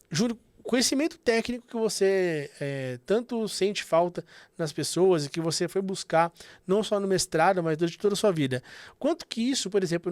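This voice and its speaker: A man's voice: on the high side, no room sound. Man hosting a finance podcast, dry sound. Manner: conversational, explanatory, frequent fillers. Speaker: podcast host. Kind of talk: casual business podcast chat.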